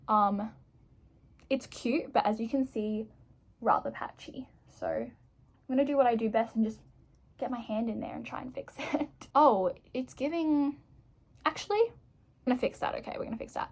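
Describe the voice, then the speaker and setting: A young woman turning twenty-one, chatting casually to camera while doing her makeup, rambling, self-mocking and full of "like"s. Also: on the high side. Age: young adult. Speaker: vlogger.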